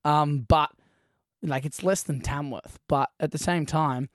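A man's voice: a little high, measured pace, dry recording. A man co-hosting a podcast in casual chat, rambling through anecdotes and laughing as he goes. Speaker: podcast co-host.

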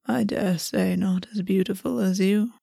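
A woman reading the part of a measured, cold-edged male prince in a fantasy audiobook, speaking slowly and softly. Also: medium pitch, fairly even intonation, gravelly, slightly rough, dry recording, studio quality, full character voice. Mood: tender.

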